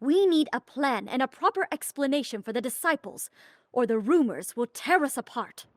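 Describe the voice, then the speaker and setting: A woman's voice: high-pitched, expressive, moderate pace, dry recording, studio quality. A woman playing a grave, reasoning sect leader in a video game, firm and admonishing. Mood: grave concern. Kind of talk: dramatic video game acting.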